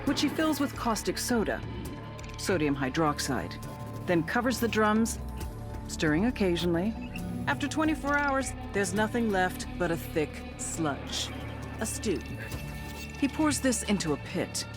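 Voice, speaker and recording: An actress playing a grim, outraged intelligence agent in a game cutscene, speaking in a measured, hard-edged way. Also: female voice, mid-range voice, very animated, over music. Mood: grim, somber. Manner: measured, hard-edged, foul-mouthed when angry. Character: grim, outraged intelligence agent woman recounting cartel horrors. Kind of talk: dramatic scripted narration in a game cutscene.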